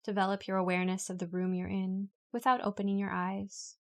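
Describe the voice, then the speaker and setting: A woman guides a relaxation practice from a script. Her medium-pitched voice stays very even, with little melody. She gives each instruction calmly and takes her time. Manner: calm, unhurried, instructional. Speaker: yoga nidra meditation guide. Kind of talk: guided meditation, read from a script.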